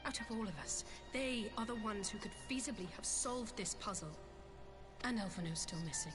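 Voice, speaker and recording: A woman's voice: medium pitch, expressive, normal speed, lightly acted. An actress voicing a worried, self-blaming young woman scholar in a video game cutscene, speaking earnestly in formal wording. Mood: anxious, downcast. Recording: game audio with music behind.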